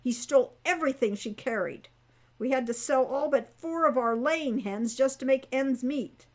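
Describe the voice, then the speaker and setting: A woman's voice: high voice, normal speed, natural voice. A woman voicing a stern, angry centaur father in a storybook, with formal, scolding speech. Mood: bitter.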